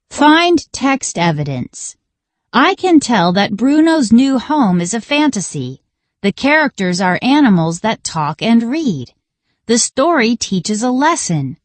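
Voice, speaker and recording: A woman reading a scripted children's reading lesson in a deliberate, instructional manner. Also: on the high side, no echo. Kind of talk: scripted educational read-along narration for children.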